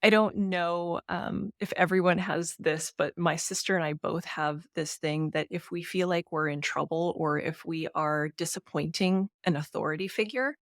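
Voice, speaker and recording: A woman with a naturally slightly low voice, co-hosting a casual book-discussion podcast and talking thoughtfully with many fillers. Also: steady tone, moderate pace, hardly any pauses, no echo, studio quality. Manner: thoughtful, with frequent "you know" and "um". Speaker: podcast co-host.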